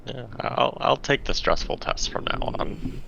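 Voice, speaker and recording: A man's voice: medium pitch, a little slow, gravelly. A man chatting casually in character during a tabletop roleplaying game. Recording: dull lo-fi mic, faint noise.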